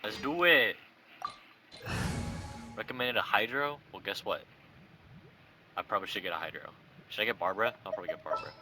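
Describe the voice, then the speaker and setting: A man's voice: on the low side, brisk, pauses often, sharp tone, faint music behind. A young man giving casual gameplay commentary, rambling and thinking aloud. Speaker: gamer. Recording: noisy home recording.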